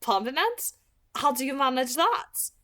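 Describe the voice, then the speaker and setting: Storybook role: cordial, inquisitive woman leading a vampire pack, pitched up, voiced by a female reader. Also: very animated, a little slow, fairly bright tone, full character voice. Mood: friendly, curious. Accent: French. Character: friendly, curious female vampire pack leader.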